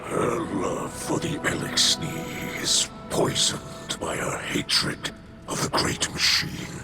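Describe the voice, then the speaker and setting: A weary, ailing alien elder with a breathy, formal way of speaking. His low voice moves slowly and rises and falls widely, with music underneath. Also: expressive. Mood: solemn. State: weak from illness. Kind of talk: game cutscene acting.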